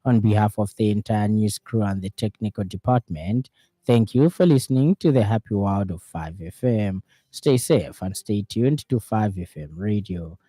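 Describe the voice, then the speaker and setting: A man's voice: lowish voice, expressive, normal speed, warm tone, dry recording. A man with a Zambian accent reading a scripted radio news bulletin in a studio.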